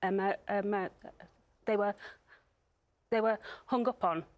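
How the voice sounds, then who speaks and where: A woman's voice: mid-range voice, fairly even intonation, a little slow, dry recording. A woman speaking as a charity spokesperson, explaining things in a broadcast interview. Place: TV studio.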